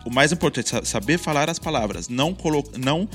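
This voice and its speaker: A Brazilian man with a Brazilian Portuguese accent, co-hosting a casual chat, talking in a relaxed, reflective way with frequent restarts. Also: on the high side, fast, faint music behind.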